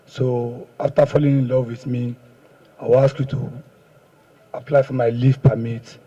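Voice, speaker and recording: Very dark, husky Nigerian man, muffled. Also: mid-range voice, level tone, normal speed. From Ekiti State, Nigeria. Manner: deferential, guarded answers. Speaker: paraded fraud suspect being questioned. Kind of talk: public confession under questioning. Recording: dull, muffled audio.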